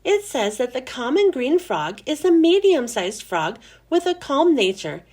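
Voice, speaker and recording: A woman voicing a kind but firm female schoolteacher who speaks in a gently instructive way in a storybook reading. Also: high voice, sing-song, unhurried, exaggerated voice.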